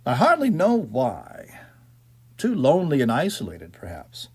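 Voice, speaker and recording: A man voicing a fussy, uneasy gentleman house-hunter in an audiobook ghost story, musing aloud in clipped mutters. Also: mid-range voice, huge pitch swings, unhurried, slightly rough, heavily acted.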